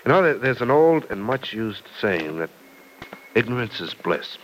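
A man's voice: mid-range voice, very animated, moderate pace, close to an everyday voice, low-quality recording. An actor playing a good-natured young man in a 1940s radio drama, folksy and slightly stammering. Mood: affable. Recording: old radio transcription with faint hiss.

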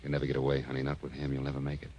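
A man's voice: rumbling low, completely flat delivery, very fast, darkish tone, a little hiss or hum. A man playing a tough, wry, world-weary private detective in clipped 1940s radio style. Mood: weary, resigned. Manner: hardboiled, wry, clipped wisecracking. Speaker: lead actor and narrator. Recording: muffled old radio recording.

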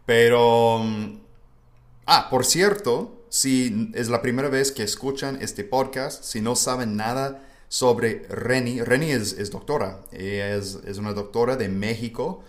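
A man's voice: medium pitch, a little slow. A man from the United States, a native English speaker, hosting a casual language-learning podcast. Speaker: podcast host. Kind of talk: casual educational podcast conversation.

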